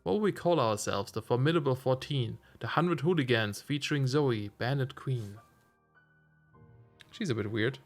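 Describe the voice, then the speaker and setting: Slightly hoarse male game streamer. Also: mid-range voice, normal speed, soft background music. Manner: chatty, muses aloud with self-amused asides. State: slightly hoarse, losing his voice. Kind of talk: casual let's-play commentary.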